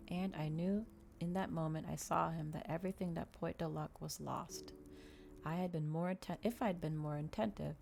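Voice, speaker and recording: A woman doing a soft, unhurried ASMR reading of a novel, with small stumbles and restarts. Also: low voice, gravelly, slight background noise. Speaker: streamer reading a novel aloud. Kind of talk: ASMR audiobook-style reading.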